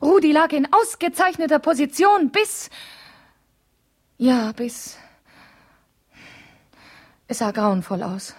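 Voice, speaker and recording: A woman's voice: high-pitched, big pitch swings, slow, long pauses, full character voice. An actress playing a wife in a radio play, confiding and hesitant as she thinks aloud over an emotional letter. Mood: agitated. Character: wife writing an emotional letter to a trusted friend.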